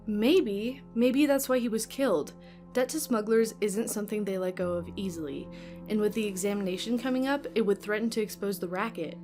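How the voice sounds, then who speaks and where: A woman's voice: mid-range voice, brisk, a little mellow, natural voice. A woman playing a sceptical, speculating detective in an amateur sci-fi audio play. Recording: music under the voice.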